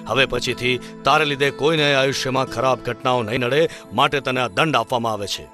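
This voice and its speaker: An actor voicing a proud, commanding emperor in a children's cartoon story, speaking bluntly. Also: male voice, mid-range voice, a little fast, pushed and bright, faint music behind, close to an everyday voice. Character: stern proud male emperor, middle-aged.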